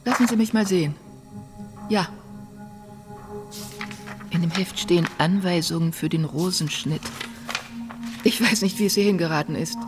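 A woman's voice: on the low side, normal speed, pauses often, music in the background, lightly acted. An actress playing a sombre, guarded sister recounting her brother's life in a radio drama. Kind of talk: German radio drama acting.

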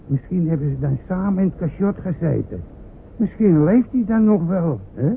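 An actor playing a timid, bumbling old villager who stammers and hesitates, in a radio drama. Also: male voice, a little high, animated, moderate pace, vocal fry. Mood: urgent, earnest. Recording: tinny, noisy old radio recording.

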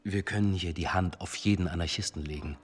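A man acting in a German radio drama as a dry, self-assured police chief inspector, speaking in a measured, formal, pointed way. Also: low-pitched, slightly rough. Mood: assured, insistent. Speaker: actor in a radio play.

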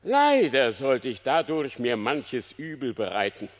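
A man's voice: medium pitch, wildly swooping pitch, unhurried, pitched above the performer's own voice. A man voicing a cunning condemned fox who pleads publicly with theatrical, mock-pious solemnity in a literary verse drama. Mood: confessing, sly. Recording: long hall-like reverb.

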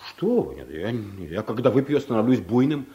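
A man's voice: mid-range voice, big pitch swings, moderate pace, highs cut off, lightly acted. Man acting shy office clerk in a radio comedy, voice swings up and down, hesitant but stubbornly pushing on. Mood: stubborn, needling. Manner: hesitant, rambling, clumsily persistent. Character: shy, awkward male office clerk, nervously bold, stubborn.

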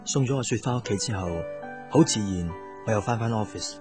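Young male lead played by an actor, radio drama: guarded boyfriend, working man, lower-middle register. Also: fairly quick, music in the background, lightly acted. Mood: pensive. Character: young male interior designer, guarded, work-bound boyfriend. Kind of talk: Cantonese radio drama acting with narration.

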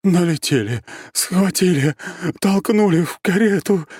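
A man's voice: high voice, expressive, a little slow, darkish tone, breathy edge, dry recording, clean studio sound, voice pushed up, full character voice. A man voicing a distraught, combative middle-aged mother of the bride in an audiobook, with breathless, emotional delivery. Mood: tearful, breathless. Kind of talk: audiobook character voice.

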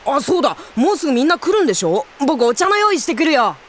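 A woman's voice: high voice, big pitch swings, quick pace. A woman voicing a cheeky, easily flustered teenage younger brother in a Japanese anime audio drama.

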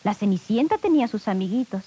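A woman's voice: medium pitch, expressive, quick pace, dark tone, husky. A woman narrates a scripted children's story, speaking directly to her young listeners. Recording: old 78 rpm record, music behind.

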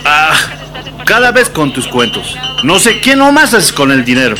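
A man's voice: on the high side, sing-song, measured pace. A man playing a demanding, dismissive machista husband in a radio drama, with a Bolivian accent and a complaining manner. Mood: irritated, dismissive. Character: grumbling, demanding machista working-class husband. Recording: music and clinking dishes behind.

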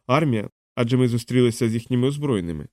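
A man's voice: mid-range voice, animated, fast, a little mellow, dry recording, lightly acted. Insistent male engineer for audiobook, read by a man, astonished, exclaiming, in a dry studio-like sound. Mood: agitated, insistent.

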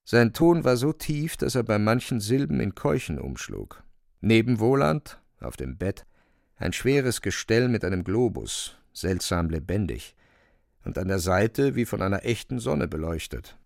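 A man narrating a literary radio drama in a measured, descriptive storytelling manner. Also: fairly low pitch, very animated, vocal fry, darkish tone, dry recording, clean studio sound, exaggerated voice.

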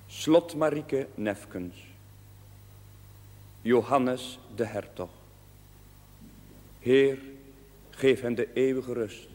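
An actor playing a devout village priest in a television drama, preaching solemnly in measured, emphatic cadences. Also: male voice, mid-range voice, a little mellow. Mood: grave, reverent. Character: devout male village priest bidding his parish farewell. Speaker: parish priest. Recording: echoey church hall reverb, background hiss.

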